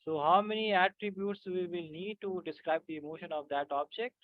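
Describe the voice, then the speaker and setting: A man's voice: high voice, no room sound. Man teaching over tinny call audio. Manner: halting, many fillers, keeps checking with "right?". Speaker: physics teacher. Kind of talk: online class lecture. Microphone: video call.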